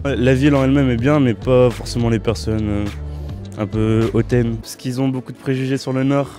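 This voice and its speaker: Blunt male interviewee, middle voice, loud music under. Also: mid-range voice, fairly even intonation, fairly quick. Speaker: street interviewee.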